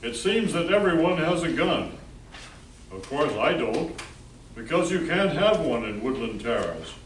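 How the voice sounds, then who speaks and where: A man narrates and hosts a scripted radio play. His voice is fairly high and his delivery slow. He sounds set back from the microphone in a roomy space, with faint hiss. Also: very animated. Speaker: narrator and host. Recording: echoey room, distant mic, hiss.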